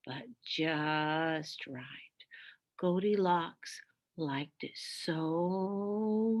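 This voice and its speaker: A woman reading a children's story aloud with expressive, deliberate, dramatic narration. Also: medium pitch.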